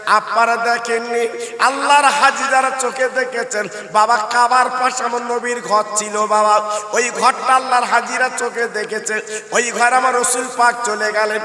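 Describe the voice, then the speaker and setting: A man's voice: very high voice, fairly even intonation, a little slow, sharp tone. A man delivering an emotive, half-chanted storytelling sermon as an Islamic preacher, impassioned and plaintive, calling out to his listeners. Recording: echoey reverberant public-address sound.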